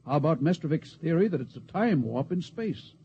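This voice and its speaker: An actor playing a skeptical, grumbling ship's doctor in a 1950s radio drama, talking in a dry, needling way. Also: male voice, on the high side, big pitch swings, brisk. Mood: probing. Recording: muffled, hissy old radio recording.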